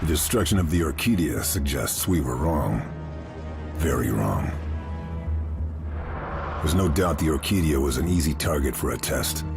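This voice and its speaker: An actor playing a grim, resolute World War Two sniper, narrating a video game cutscene in a terse, hard-boiled, gravelly voice. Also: male voice, animated, normal speed, long pauses, music in the background, exaggerated voice. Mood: brooding, terse. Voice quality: very deep, gravelly. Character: grim, resolute male WWII Allied sniper agent on a mission. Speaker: narrating protagonist.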